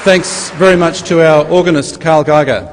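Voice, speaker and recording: A man hosts a formal event, delivering thanks and announcements in a fairly high male voice. The sound is reverberant, as in a large hall, with faint ambient noise underneath. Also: moderate pace. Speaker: master of ceremonies.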